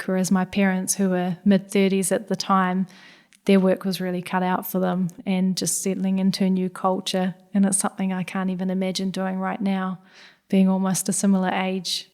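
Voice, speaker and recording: A woman in her thirties, born in Hungary, talks with a relaxed New Zealand accent. She answers reflectively, with a flat, even pitch and medium-height voice, often pausing on "yeah" and "I guess.". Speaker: guest scientist. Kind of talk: podcast interview.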